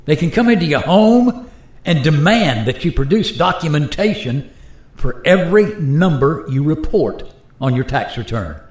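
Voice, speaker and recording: A man's voice: medium pitch, close to an everyday voice. A man playing a resigned, plain-spoken modern taxpayer in a radio play, explaining things in a wry, conversational way. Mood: matter-of-fact warning. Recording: roomy echo.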